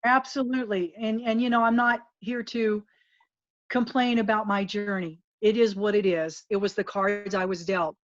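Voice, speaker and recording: A woman's voice: mid-range voice, steady tone, normal speed, no echo. Earnest woman guest, dull dry audio. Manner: earnest, emphatic, motivational. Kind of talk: candid interview talk.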